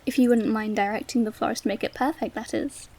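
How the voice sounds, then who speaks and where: Female actor as gracious royal hostess: very high, soft-toned and mellow, refined, affectionate delivery. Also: fairly even intonation, fast. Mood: delighted, brisk. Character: gracious, eager queen who loves hosting. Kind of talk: scripted romantic audio drama acting.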